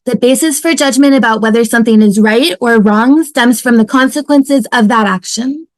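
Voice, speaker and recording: Casting: woman as male philosophy professor in nihilistic meltdown, high register, dry close sound. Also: moderate pace, dry recording, close to an everyday voice. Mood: mock-lecturing. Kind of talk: fan table read of a TV sitcom. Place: home, on a video call.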